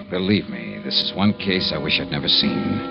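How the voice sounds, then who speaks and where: A man's voice: extremely low pitch, vocal fry, exaggerated voice. A man playing a tough, world-weary insurance investigator in 1950s radio drama style. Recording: muffled vintage radio recording, faint music.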